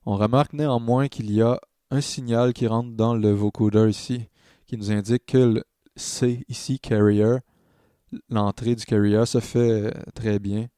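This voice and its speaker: Slow, mellow man teaching music software. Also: on the low side, steady tone, clear, resonant tone, dry recording, studio quality. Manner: hesitant, thinking aloud while demonstrating. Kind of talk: step-by-step screen tutorial narration.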